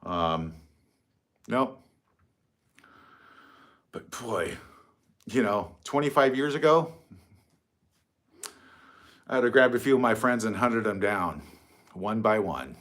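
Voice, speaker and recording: A man's voice: lowish voice, animated, slow, long pauses, a bit bright. A middle-aged man hosting a casual livestream from his phone, rambling, mocking and swearing freely. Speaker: livestream host.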